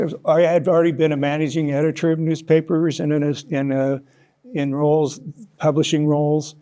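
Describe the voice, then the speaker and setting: An older man with an American accent speaks as a guest in an interview. His voice is soft-edged and mellow, sitting a little higher than most men's. He tells his stories thoughtfully, pausing and picking his way through the sentences. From United States, Kansas. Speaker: interview guest, author and former sports journalist. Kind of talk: conversational interview answers, reflective storytelling.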